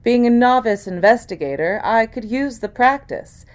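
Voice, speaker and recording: A woman's voice: a little high, expressive, moderate pace. A woman actor reading scripted first-person mystery narration, as for an audiobook.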